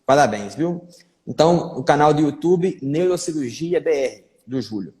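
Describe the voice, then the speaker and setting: This is a man hosting a casual live stream with a fellow doctor. His voice is mellow and unhurried, and he keeps dropping in small conversational tags. The sound carries a long, echoing reverb. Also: on the high side, lively intonation. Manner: chatty, repeats fillers like "né". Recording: echoey room with long reverb.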